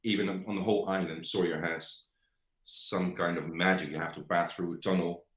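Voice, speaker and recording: Deep-voiced Dutch man, halting English. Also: fairly even intonation, fairly bright tone, roomy sound. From Netherlands. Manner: hesitant, searching for words, many fillers. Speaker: guest. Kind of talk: conversational interview.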